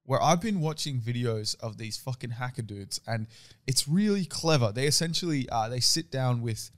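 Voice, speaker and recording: A man's voice: mid-range voice, lively intonation, moderate pace. A young man with an Australian accent co-hosting a podcast, talking in casual, slangy, profane banter. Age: young adult. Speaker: podcast co-host.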